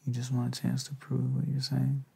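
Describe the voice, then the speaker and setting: A young man speaks softly and hesitantly in an intimate, breathy ASMR boyfriend roleplay, tender and low-key. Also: completely flat delivery, a little fast. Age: young adult. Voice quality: soft, breathy, gravelly. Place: indoors, lying on a bed in a bedroom.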